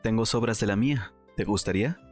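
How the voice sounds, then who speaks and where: A man playing a composed, caring young nobleman with measured, formal speech in a dramatized audiobook. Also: lowish voice, faint music behind, lightly acted.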